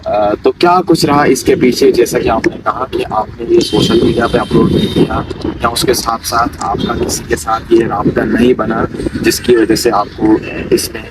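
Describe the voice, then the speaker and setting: Male reporter asking questions, bit halting, off mic, noisy street. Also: medium pitch, distant mic. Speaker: local news interviewer. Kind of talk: interview questioning. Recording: noisy outdoor recording, off-mic, traffic.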